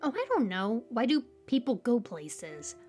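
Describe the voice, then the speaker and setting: A kooky, high-energy young female stranger, acted by a woman in an audio roleplay, with a fairly high voice over music. She rambles and teases in slangy, stammering banter, talking straight to the listener. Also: very animated, a little slow, darkish tone. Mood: curious, awkward. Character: hyperactive, chatty, kooky young female cemetery stranger, teasing. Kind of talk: audio roleplay acting, casual direct address to listener.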